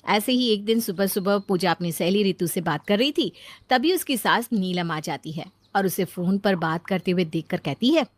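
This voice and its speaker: A woman's voice: medium pitch, animated, normal speed, dry recording. A woman reading scripted story narration.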